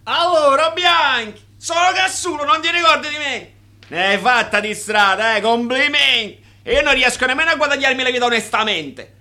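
An actor playing a brash, needling ex-convict crony in a radio play, with a pushy, familiar manner. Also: male voice, extremely high pitch, normal speed, sharp tone, full character voice. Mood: loud, mock-friendly.

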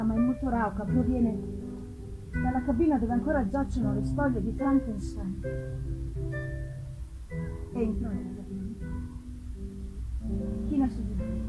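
Woman reading gothic novel live, theatrical n measured, highish voice, loud guitar behind her. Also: low-quality recording. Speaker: reader, narrator.

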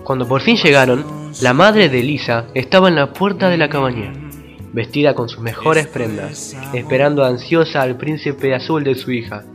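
A man's voice: medium pitch, normal speed, music in the background. A man reading scripted mock-romantic narration in a florid, melodramatic way.

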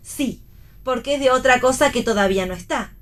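Woman acting a young argentine wife in a radio play, high voice, testy and dry witted. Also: brisk, close to an everyday voice. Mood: dry, teasing. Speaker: actress in a read-theatre radio play. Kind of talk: dramatic play reading.

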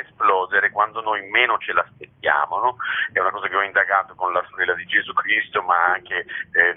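Low-voiced man on a phone line. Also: slightly rough. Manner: reflective, explanatory, often tagging phrases with "no?". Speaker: playwright and theatre director being interviewed. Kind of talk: spontaneous interview answers. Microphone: telephone. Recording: muffled narrow-band telephone line.

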